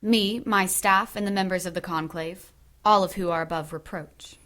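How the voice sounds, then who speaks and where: A woman playing a dignified, stern high priestess of witches, formal and measured, in an audio drama. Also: steady tone, fairly bright tone, natural voice. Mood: guarded calm.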